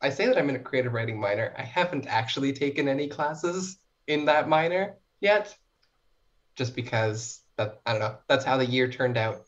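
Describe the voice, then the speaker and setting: A woman's voice: a bass voice, expressive, normal speed. A young Canadian woman from small-town New Brunswick chatting casually as a podcast guest, playful and self-deprecating. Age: young adult. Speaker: student writer, podcast guest. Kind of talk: casual interview chat. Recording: dull lo-fi podcast audio.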